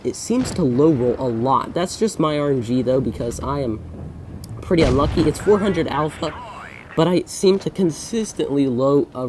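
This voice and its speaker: A man's voice: on the high side, darkish tone, a little hiss or hum. A young man with a congested voice from a recent cold, casually commentating over his own gameplay and often correcting himself with fillers. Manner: rambling, self-correcting, frequent fillers.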